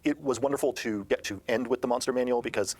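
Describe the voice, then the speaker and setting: A man speaking as a game designer in an interview, explaining things in a measured way. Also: medium pitch, darkish tone. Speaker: game designer discussing the books they made. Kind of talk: interview discussion.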